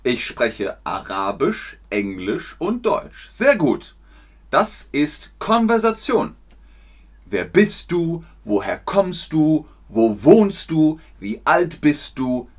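Man, German teacher, online class, clearly articulated, encouraging, unhurried, animated pitch. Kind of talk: live online language lesson.

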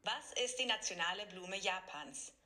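A woman reading quiz questions in scripted, measured announcing. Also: medium pitch, animated, sharp tone. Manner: measured, evenly read.

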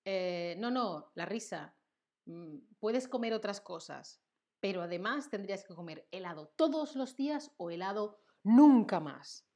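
Unhurried Andalusian woman teaching live. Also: medium pitch, lively intonation, warm tone. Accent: Andalusian Spanish. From Seville, southern Spain. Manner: playful, chatty, laughs often, reads chat names aloud; lists words slowly with hesitant fillers. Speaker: Spanish teacher hosting a live stream. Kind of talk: live-stream lesson with chat interaction.

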